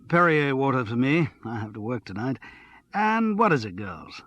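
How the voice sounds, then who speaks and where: A man voicing an affable, assured male radio presenter in an audiobook, with clear professional charm. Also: medium pitch, very animated, moderate pace, a bit bright, dry recording.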